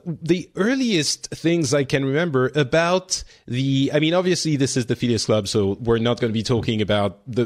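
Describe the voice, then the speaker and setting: A man in his forties from France, hosting a casual podcast discussion in a chatty, self-interrupting way. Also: mid-range voice. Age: 40s. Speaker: podcast host.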